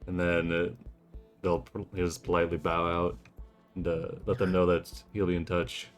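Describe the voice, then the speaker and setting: Man, low flat voice, tabletop player, measured, thinking aloud, casual, light music underneath. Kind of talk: casual tabletop roleplay, planning and describing actions.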